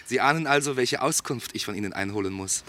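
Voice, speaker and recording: An actor playing a courteous, dryly teasing police investigator in a German radio play, questioning insistently with irony. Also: male voice, medium pitch, fast talker, sharp tone, a little hiss or hum, lightly acted. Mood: businesslike, probing. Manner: insistent, drily ironic questioning.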